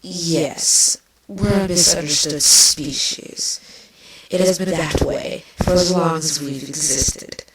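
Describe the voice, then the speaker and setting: Lady doing a bitter bug queen villain, really slow and biting, noisy far-off mic. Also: low voice, fairly even intonation, full character voice. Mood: bitter, resigned. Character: bitter, venomous changeling queen, insectlike villainess. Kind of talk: dramatised fan fiction reading. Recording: distant mic, clearly noisy.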